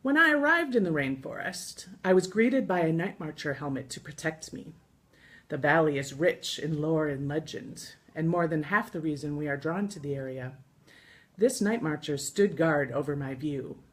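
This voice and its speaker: An American woman reading her own scripted travel memoir aloud in an expressive storytelling manner, with deliberate pauses. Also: low voice. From United States. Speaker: author reading from own book.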